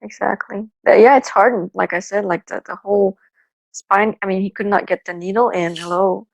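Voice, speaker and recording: Giggly woman with a gravelly edge. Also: mid-range voice, steady tone, moderate pace, dry recording, poor audio. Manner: giggly, supportive, laughs often. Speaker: co-host. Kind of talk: casual chat.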